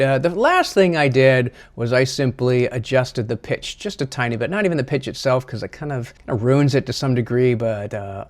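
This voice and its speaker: A man presenting a software tutorial in a casual, chatty, slightly self-deprecating way. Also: mid-range voice.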